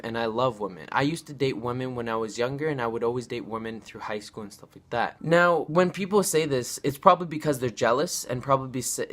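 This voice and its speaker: A man's voice: medium pitch, a bit bright. A nineteen-year-old man talking straight to camera in a casual vlog, rambling and repeating himself in an earnest way. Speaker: vlogger.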